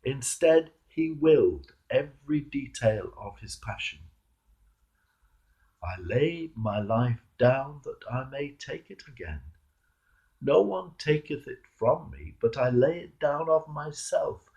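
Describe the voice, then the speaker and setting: A man, a clergyman, reading a devotional sermon in a measured, emphatic manner with rhetorical turns. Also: mid-range voice, animated, gravelly, darkish tone, poor audio. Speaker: Anglican clergyman reading a reflection. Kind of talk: devotional sermon, read aloud.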